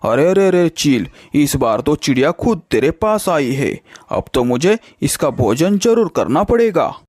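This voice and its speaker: A man plays a sly, greedy kite bird from a kids' cartoon. The voice sits fairly high. He exclaims and repeats himself, a false friend through and through. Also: sing-song, a little slow, darkish tone, no room sound, clean studio sound. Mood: gloating. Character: deceitful scheming greedy male kite bird. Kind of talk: children's cartoon story acting.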